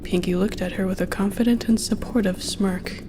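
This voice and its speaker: A woman's voice: mid-range voice, steady tone, moderate pace, over music. A woman reading a scripted story aloud as its narrator. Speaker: narrator and reader.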